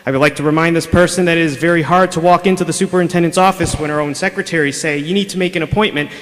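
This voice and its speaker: Male public commenter, fairly high voice, pointed and accusatory, heard through a public address system in a hall with a long reverb. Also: a bit bright. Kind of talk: prepared public comment at a school board meeting. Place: city meeting chamber. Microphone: podium microphone over public address.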